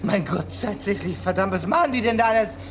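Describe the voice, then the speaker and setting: An exasperated everyman, agitated and indignant, his voice strained high. He is flustered, in a radio-drama scene with clear outdoor vehicle noise around him. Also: lively intonation, brisk. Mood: alarmed, furious. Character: agitated, exasperated ordinary man whose house is threatened. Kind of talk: radio play acting.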